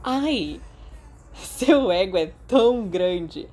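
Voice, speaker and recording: A woman's voice: mid-range voice, lively intonation, drawn-out words, darkish tone. A woman voicing a cocky, playful teenage boy with a teasing, exclamatory manner in an audiobook. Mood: mock offended.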